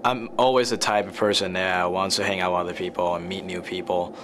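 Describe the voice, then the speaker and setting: Earnest low-voiced man from Taiwan. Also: flat delivery, fairly quick, noisy background. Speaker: college student. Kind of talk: promo interview testimonial.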